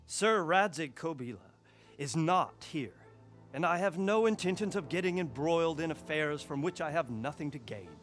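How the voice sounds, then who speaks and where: Dignified, diplomatic medieval castle lord; formal, measured game drama, fairly high voice, faint music. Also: male voice, lively intonation, a bit bright. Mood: diplomatic, guarded. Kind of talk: video game cutscene acting.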